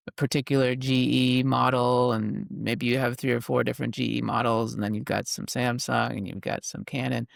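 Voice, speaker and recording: A man's voice: medium pitch, vocal fry, a bit bright, no room sound, clean studio sound. A man hosting a conversational interview, recapping points and checking them with questions. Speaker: podcast host interviewing a guest. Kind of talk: conversational interview about technology.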